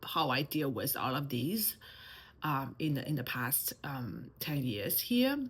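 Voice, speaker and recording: Woman in her 30s, Mandarin-accented English, low husky voice, hesitant personal vlog delivery. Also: big pitch swings, takes it slowly. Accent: Mandarin Chinese. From China. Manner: hesitant, many fillers and restarts. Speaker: channel host. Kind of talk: personal vlog talk to camera.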